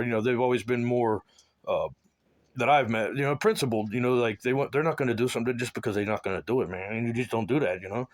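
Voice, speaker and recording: A man from South Florida talking in a rambling personal monologue, halting and full of restarts, often trailing into low mumbles. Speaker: man recounting his life.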